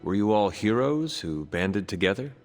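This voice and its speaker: An earnest, reserved video game hero, with a deep voice. He puts questions in a plain, polite, measured way over background music. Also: sing-song, a little mellow. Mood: curious, calm. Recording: music underneath.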